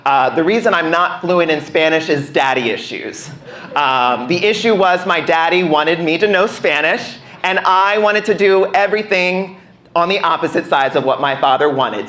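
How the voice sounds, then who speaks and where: A man's voice: fairly high pitch, a bit bright. A young American man performing a playful, self-deprecating stand-up routine in a conversational way on a club stage. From United States. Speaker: stand-up comedian. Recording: roomy live club sound.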